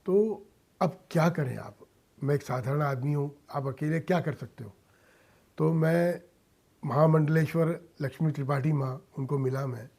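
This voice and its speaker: A man with a fairly high, very mellow voice is being interviewed in a radio studio. He speaks earnestly in short, halting phrases and leans on his points with emphasis. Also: vocal fry. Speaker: interview guest, industrialist and philanthropist. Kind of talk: earnest interview answers mixing Hindi and English.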